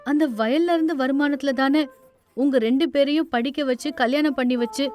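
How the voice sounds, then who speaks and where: A woman puts on a devoted elder sister-in-law in a family tale. She is wounded and indignant, her high voice full of tearful reproach, with faint music beneath. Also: steady tone, moderate pace, a little mellow, natural voice. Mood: tearful, reproachful. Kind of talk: dramatised moral story.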